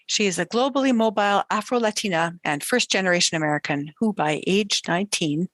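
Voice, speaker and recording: A woman hosting a podcast, reading a measured, formal guest introduction and asking questions. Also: fairly low pitch, fairly bright tone, no room sound. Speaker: podcast host. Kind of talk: interview host, reading a scripted introduction.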